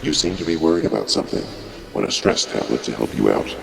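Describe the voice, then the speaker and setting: Soothing hallucinated voice, visual novel character, extremely deep, heavy vocal fry, eerie, drawn-out. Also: male voice, expressive, full character voice. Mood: eerily soothing. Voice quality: very deep, gravelly croak. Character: calm drug-induced voice offering a stress tablet.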